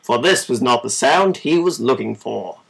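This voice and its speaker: Male storybook reader, informal, measured, creaky, husky. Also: medium pitch, expressive, fairly bright tone, poor audio. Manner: informal, measured amateur reading. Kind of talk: reading a children's story aloud.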